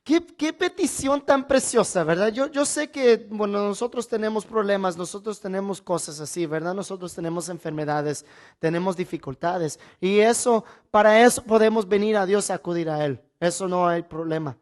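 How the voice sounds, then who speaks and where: Man preaching with deliberate, emphatic phrasing. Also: high-pitched. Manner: deliberate, emphatic phrasing with rhetorical questions and repetition. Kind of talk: Bible teaching sermon.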